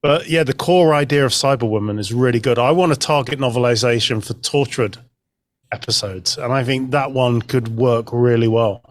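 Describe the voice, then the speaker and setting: A man's voice: medium pitch, moderate pace, dry recording. A man speaking as a podcast guest, explaining details in an informal, conversational style. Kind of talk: informal fan discussion.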